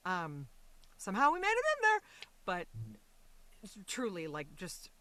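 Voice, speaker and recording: A woman speaking as a voice actor and interview guest, chatting casually in an animated, rambling, reflective way. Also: on the low side, measured pace.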